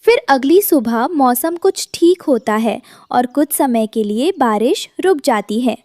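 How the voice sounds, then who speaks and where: A woman's voice: high voice, animated, a little slow, darkish tone, no room sound, clean studio sound. A woman narrating a scripted cartoon story.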